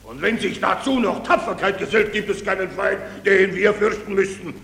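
An actor in a German radio drama playing a pompous, doddering general who gives pedantic, repetitive orders in a creaky voice. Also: male voice, high voice, moderate pace, fairly bright tone. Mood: pompous approval. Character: pompous, doddering male general inspecting troops. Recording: very echoey hall, long reverb.

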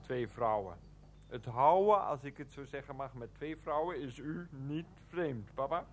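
An actor playing an earnest, lovelorn, hesitant son in a Dutch radio play, speaking haltingly as if to himself. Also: male voice, medium pitch, expressive. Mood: pleading.

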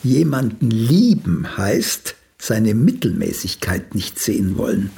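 An aging playwright in a radio drama, once celebrated and now doubting himself. His voice is gravelly and creaky, rising and falling widely. He takes his time, slyly flirting and musing, and sometimes drops to a murmur. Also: lowish voice, very animated, slow pace, dark tone, full character voice. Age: older. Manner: sly, musing, theatrical, with murmured asides. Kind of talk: radio play acting.

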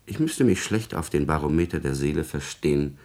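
An actor playing a servile, scheming court secretary in a classical radio drama, insinuating and calculating in a formal, obsequious manner. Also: male voice, a bass voice, expressive, brisk, darkish tone, full character voice.

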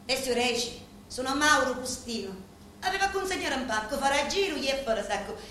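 An actress playing a deferential, devoted Sicilian woman who serves a local boss, speaking a reverent, deliberate stage monologue with a Sicilian accent. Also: high voice, sing-song, sharp tone, exaggerated voice. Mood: solemn, devoted. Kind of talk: theatrical dramatic monologue in dialect. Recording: very echoey room, faint background noise.

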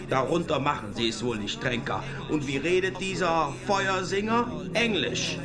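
An actor playing a pompous, mocking Nazi-era official who pours scorn in a radio play. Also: male voice, on the high side. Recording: music under the voice.

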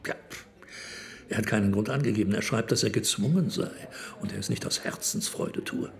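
A man's voice: fairly low pitch, a little fast, close to an everyday voice. An actor playing a grave townsman in a radio play.

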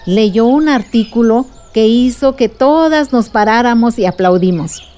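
A woman's voice: on the high side, unhurried, natural voice. An actress playing an adult village woman, a patient, earnest activist recounting memories in a radio drama. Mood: moved, proud. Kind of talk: radio drama dialogue and narration.

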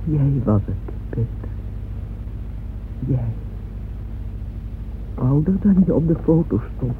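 An actress playing a lonely, yearning older aristocratic woman in a radio play, speaking slowly, wistfully and intimately. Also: extremely low pitch, lively intonation, background noise, full character voice. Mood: breathless awe. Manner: slow, wistful, intimate confiding delivery. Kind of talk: old radio drama acting, monologue. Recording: dull old radio recording with music.

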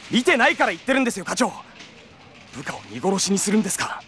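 Audio drama lead: impassioned young cop, brash and loyal, high-pitched for a man, widely swinging intonation. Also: big pitch swings, fast, fairly bright tone, slight background noise. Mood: indignant pleading. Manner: brash, rough-spoken, impassioned. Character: hot-headed, loyal young male police detective. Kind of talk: Japanese audio drama acting.

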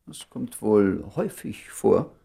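An actor playing a concerned, familiar doctor friend in a German radio play, speaking in a dry, measured, understated way. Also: male voice, on the low side, big pitch swings, vocal fry, warm tone, exaggerated voice. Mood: cautious, probing.

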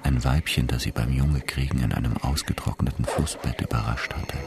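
A man's voice: rumbling low, steady tone, a little fast, creaky, loud music behind the voice. A man narrating a radio drama as a grave storyteller, in a scripted, dramatic style. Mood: grave, storytelling. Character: grave storyteller of a science-fiction saga.

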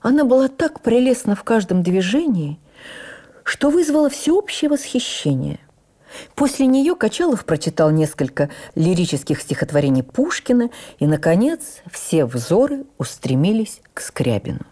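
A woman playing an actress who reverently recalls her life, in an expressive, measured dramatic narration for radio. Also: medium pitch. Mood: admiring, reflective. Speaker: actress reading memoirs on radio. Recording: radio broadcast with faint music.

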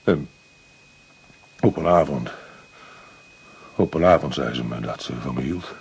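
An actor in a radio play, playing a distraught, guilt-ridden poor painter who stammers, halts and repeats himself. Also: male voice, very deep voice, a little slow, gravelly, darkish tone, husky, exaggerated voice. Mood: agitated. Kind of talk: Dutch radio play acting.

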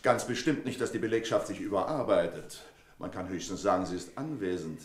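An actor in a radio play playing a sardonic, imperious boss with a clipped, condescending manner and dry wit. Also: male voice, deep voice, lively intonation, fairly quick, slight background noise.

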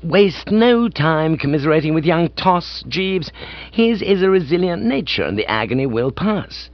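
A man voicing an excitable, pompous upper-class English gentleman in a comic audiobook, breezy and self-satisfied. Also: a little high, normal speed, no echo, dull audio, voice pushed up. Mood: excitable, exclamatory.

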